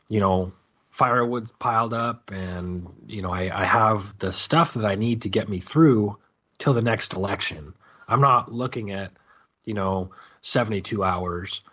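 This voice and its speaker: Husky low-voiced man on dull audio. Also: fairly bright tone. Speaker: podcast guest. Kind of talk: casual opinionated discussion.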